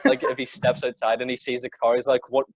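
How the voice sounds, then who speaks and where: A man's voice: medium pitch, flat delivery, fast, no echo, muffled recording. A teenage male podcast co-host chatting casually about films in a blunt, sweary way, often repeating words as he goes. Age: teens. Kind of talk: casual film discussion.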